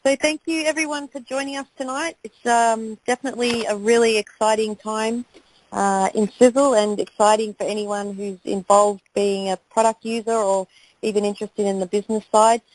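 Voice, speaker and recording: A woman's voice: fairly high pitch, fairly even intonation, normal speed. An Australian woman hosting a team phone call, upbeat and enthusiastic. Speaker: call host.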